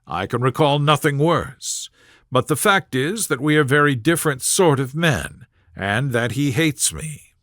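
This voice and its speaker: A man voicing an aggrieved young Regency gentleman in a storybook, smooth-talking and formal, with measured, wounded dignity. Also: mid-range voice, expressive, no room sound, studio quality. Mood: wounded, dignified. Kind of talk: storybook character voice in audiobook reading.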